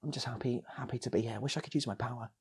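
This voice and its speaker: A man voicing a meek, resigned sidekick in a comic story, soft and trailing off as he repeats himself. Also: mid-range voice, racing, breathy edge. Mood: wistful, resigned. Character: meek, resigned sidekick wistfully happy to be included.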